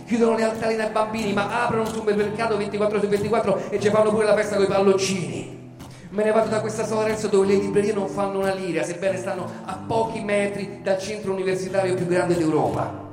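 Echoey, high-pitched Roman man performing a monologue. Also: flat delivery, fast. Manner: emphatic, repetitive litany delivery swinging from murmur to shouting. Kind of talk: satirical theatrical monologue for radio. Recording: echoey reverberant space, music behind. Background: music.

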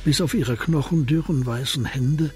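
A man's voice: medium pitch, moderate pace, darkish tone. A man reading scripted narration for a German crime radio play. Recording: faint music behind voice.

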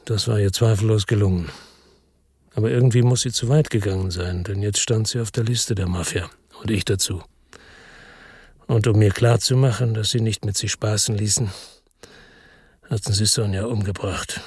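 A man's voice: on the low side, steady tone, moderate pace, pauses often, darkish tone, breathy edge, dry recording, studio quality. An actor playing a middle-aged, weary and bitter ex-policeman, narrating tersely in a radio drama. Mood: sombre. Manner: terse, sombre, matter-of-fact. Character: weary, bitter male ex-policeman narrating his own crime story.

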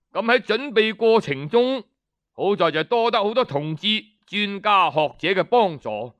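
High-pitched, unhurried male storyteller. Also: a bit bright. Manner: deliberate, explanatory, with rhetorical pauses and questions. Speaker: radio storyteller, narrator and adapter. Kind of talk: traditional Cantonese storytelling narration of history.

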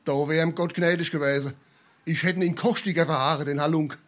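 An actor playing an angry, blustering tradesman creditor in a dialect stage comedy, loud and indignant, with a South Hessian accent. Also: fairly high pitch, fairly even intonation, quick pace, lightly acted. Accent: South Hessian, Darmstadt dialect.

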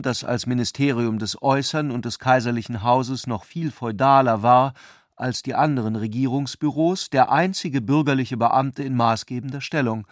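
A man narrates a literary radio play at a middle pitch. His delivery is measured and articulate, recorded very close and dry with no room around it. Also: no room sound.

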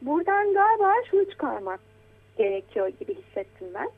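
An adult woman talking conversationally as a programme guest, encouraging and gently explaining things as if to a child. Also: sits high. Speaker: guest commentator on children's media. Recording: tinny, phone-like narrow band.

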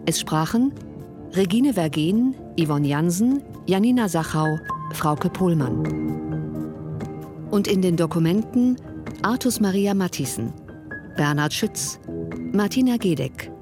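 A woman reading scripted radio credits as a broadcast announcer. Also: fairly low pitch.

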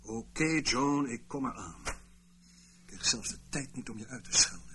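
A man's voice: mid-range voice, lively intonation, moderate pace, background noise, natural voice. A man acting in a Dutch radio play as a brusque, commanding intelligence chief who speaks curtly and with authority. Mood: stern, reproachful. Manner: curt, authoritative.

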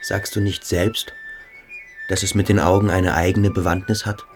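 Sensitive cadet, inner monologue, very low pitch, earnest, brooding, soft music underneath. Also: male voice, flat delivery, normal speed. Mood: searching, hushed. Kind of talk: literary radio drama, inner monologue.

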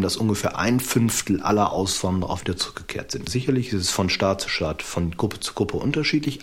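A man speaking as a history expert in an interview, explaining things in an academic, carefully qualified way. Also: lowish voice.

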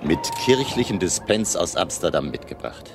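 Deep, gravelly, commanding vampire-hunting professor. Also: male voice, sing-song, moderate pace, background noise, heavily acted. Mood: hushed, deliberate. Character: authoritative professor, resolute vampire hunter leading grim vigil. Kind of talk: radio drama acting. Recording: music behind the voice.

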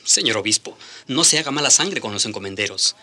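An actor playing a worldly, placating colonial priest in a radio drama. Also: male voice, medium pitch, expressive, brisk, pushed and bright. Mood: placating, protesting. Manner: placating, pragmatic. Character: ingratiating, worldly colonial priest excusing the powerful.

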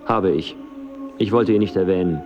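An actor playing a gloomy, skeptical knight with a dry, formal delivery in a literary radio drama. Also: male voice, low-pitched, moderate pace, lo-fi sound, faint music behind, natural voice.

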